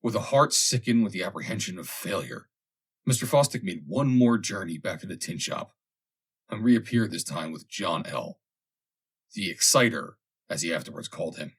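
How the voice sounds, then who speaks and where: A man narrates a vintage comic tale in a fairly low, husky voice. His delivery is dramatic and expressive, with lively swings in pitch as he reads the scripted prose. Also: normal speed. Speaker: audiobook reader.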